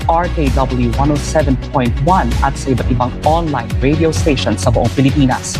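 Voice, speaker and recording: A man with a Filipino accent reading scripted radio news as a co-anchor. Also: on the high side. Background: music bed.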